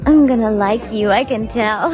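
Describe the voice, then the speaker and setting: A woman's voice: on the high side, normal speed, darkish tone, natural voice. An actress playing a spacey, flirty but self-reliant party woman in a comic audio drama, drawling and coy. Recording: dull lo-fi sound, music behind.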